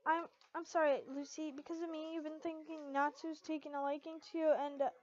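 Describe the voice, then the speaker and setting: A woman's voice: high-pitched, flat delivery, a little fast, natural voice. A woman voicing an emotional, exclamatory teenage girl, the clingy best friend in a fan fiction story. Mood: tearful, bawling. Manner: bawling, exclamatory, dramatic. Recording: dull lo-fi home recording.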